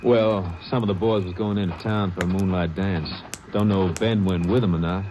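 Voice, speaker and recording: A man's voice: low voice, steady tone, a little fast, noisy background, close to an everyday voice. An actor playing an easygoing sawmill foreman in an old radio drama, with a folksy drawl. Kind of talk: 1950s radio drama acting.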